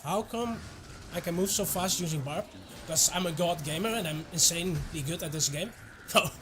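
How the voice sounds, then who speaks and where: A man's voice: on the high side, normal speed, noisy background. Dutch-accented man chatting on a stream. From Netherlands. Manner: relaxed, chuckles often, answers chat. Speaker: game streamer. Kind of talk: casual live-stream chat.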